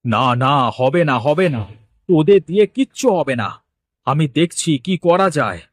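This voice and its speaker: A man's voice: fairly high pitch, animated, a little slow, no room sound, clean studio sound. A man playing an anxious village fish wholesaler in a cartoon, exclamatory and given to repeating himself. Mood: panicked. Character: anxious village fish-wholesale boss, polite, easily panicked. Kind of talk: cartoon dialogue acting.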